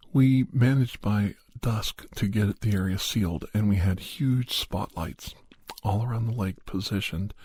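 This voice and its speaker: Low, husky, mellow man telling stories. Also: no echo. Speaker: podcast host and storyteller. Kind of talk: ASMR first-person horror storytelling.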